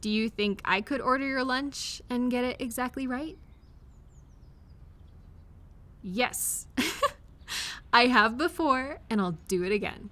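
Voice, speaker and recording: A woman acting as an affectionate partner comforting someone close in an audio roleplay. Her voice sits on the high side and she takes her time, speaking very slowly. She talks gently and directly to the listener, encouraging them. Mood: affectionate, playful. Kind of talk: intimate comfort audio roleplay, one-sided conversation.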